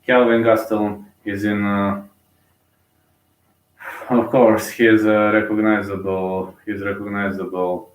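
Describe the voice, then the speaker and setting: A man's voice: on the low side, level tone, measured pace, pauses often, recorded from a distance. Bulgarian man, casual fight commentary, loose and rambling, roomy echo. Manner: rambling, thinks aloud with many fillers and restarts.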